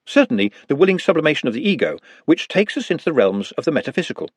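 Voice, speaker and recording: A timid but highly articulate little man, as a male narrator puts him on, formal and precise with a posh air. His husky voice swings widely in pitch, recorded dry and close. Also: big pitch swings, fast talker, dry recording. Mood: lecturing.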